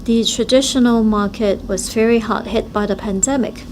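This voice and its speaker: A woman interpreting speeches live at a forum, speaking in short, halting phrases. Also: a little high, fairly even intonation, darkish tone, slight background noise, rough recording.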